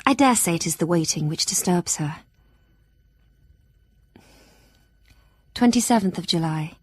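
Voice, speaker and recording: A woman's voice: fairly low pitch, fairly quick, husky, no echo, studio quality. A woman playing an anxious, devoted young Victorian woman, confiding earnestly in her journal for an audio drama. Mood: gently concerned. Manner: earnest, formal, sighing.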